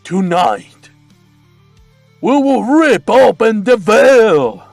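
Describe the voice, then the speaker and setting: A man voicing a masked cult leader, a fanatical man who shouts ecstatic proclamations in a deep, gravelly voice. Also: sing-song, extremely slow, no echo, soft background music, exaggerated voice. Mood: fervent.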